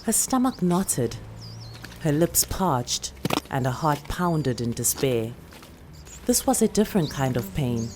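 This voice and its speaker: A South African woman actor narrates a short story in a very low voice. Her reading is slow, deliberate and expressive, with clear noise in the background. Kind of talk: dramatic literary story narration.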